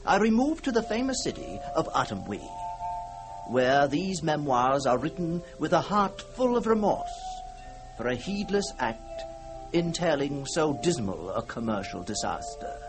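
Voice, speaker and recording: A man's voice: a little high, very animated, measured pace. A man reading as a mournful, self-important disgraced memoirist in a florid, solemn old-time radio performance. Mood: mournful, grandiose. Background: music.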